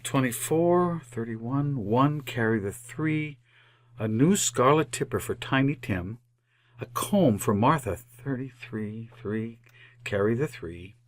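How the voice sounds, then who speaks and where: An actor playing a meek, kindly, poor clerk in old-time radio drama style, timid, polite and deferential. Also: male voice, medium pitch, expressive, slow. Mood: busy muttering.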